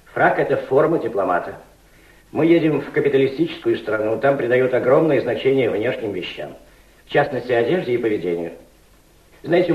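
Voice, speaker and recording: An exacting, fatherly senior Soviet diplomat , played by a male actor, speaks in a didactic, emphatic way. His intonation is very animated and mid-pitched. The radio play recording is somewhat dull and roomy. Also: lightly acted. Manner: didactic, emphatic, addresses a younger subordinate.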